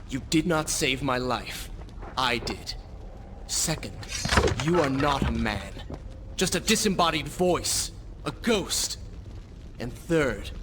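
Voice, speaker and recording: A man's voice: medium pitch, slight background noise. A man playing a proud, defiant young warrior prince in a video game, speaking formally and curtly. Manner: curt, formal, self-assured. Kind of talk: dramatic video game acting. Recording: loud game ambience behind voice.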